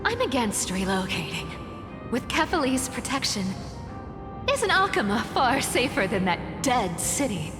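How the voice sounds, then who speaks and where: A woman's voice: a little high, sing-song, unhurried. A woman playing a wry, blunt, guarded young woman in a video game, with a drawling skeptical manner. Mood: bitter, skeptical. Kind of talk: dramatic video game voice acting. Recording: echoey long reverb under music.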